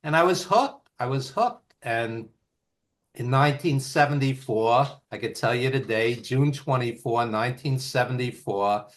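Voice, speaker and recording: Slow-talking older Brooklyn man. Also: medium pitch. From East Flatbush, Brooklyn, New York. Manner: rambling, nostalgic, with fillers and restarts. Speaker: guest, author and filmmaker. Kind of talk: casual storytelling in an interview.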